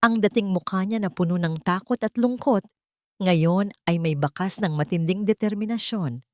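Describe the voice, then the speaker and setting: A woman's voice: mid-range voice, normal speed, no echo, clean studio sound. A woman narrating a dramatic first-person story as an audiobook.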